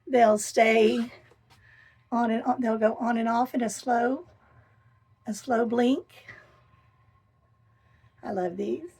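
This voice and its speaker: A middle-aged woman with a hoarse voice, chatting casually as she gives a tour of her camper van. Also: on the high side, darkish tone, rough recording. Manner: chatty and enthusiastic, pausing while showing things. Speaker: vlogger. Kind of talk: casual home tour vlog. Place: inside a small camper van.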